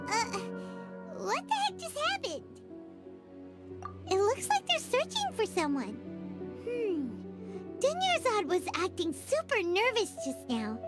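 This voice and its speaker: Squeaky, very high childlike fairy companion. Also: female voice, heavily acted. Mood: flustered, indignant. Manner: excitable, exclaiming, speaks of self in third person. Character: small childlike female fairy companion, cheerful, excitable, indignant. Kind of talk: video game voice acting. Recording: music behind the voice. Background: background music.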